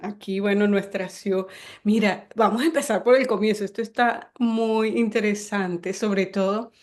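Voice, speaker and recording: A woman's voice: medium pitch, fairly even intonation, measured pace. Woman host asking encouraging questions. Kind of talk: casual interview.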